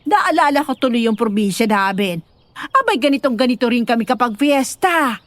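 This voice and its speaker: Woman acting lively, chattering, doting older nanny. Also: fairly high pitch, moderate pace, no echo. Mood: cheerful, chatty. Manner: talkative, fussing, exclaiming. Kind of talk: dramatised audio horror story acting.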